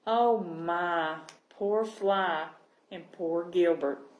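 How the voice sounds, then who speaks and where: Slow-paced woman reading a storybook, echoey. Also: lowish voice. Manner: expressive, pausing to check understanding with listeners. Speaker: children's library storyteller. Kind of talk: storybook read-aloud for young children. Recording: roomy, echoing sound.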